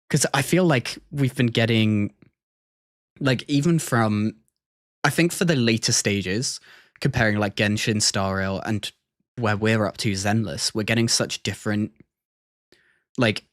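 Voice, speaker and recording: A man's voice: on the low side, fairly bright tone, no echo, clean studio sound. A man hosting a livestream with casual reaction commentary, thinking aloud in a hesitant way and repeating words as he goes. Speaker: livestream host.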